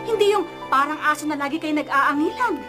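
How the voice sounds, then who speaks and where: Radio drama role: protective, chiding mother, extremely high voice pleading over loud music. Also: lively intonation, fairly quick. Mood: reproachful. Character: protective, exasperated mother refereeing family quarrels. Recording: loud music bed under voice.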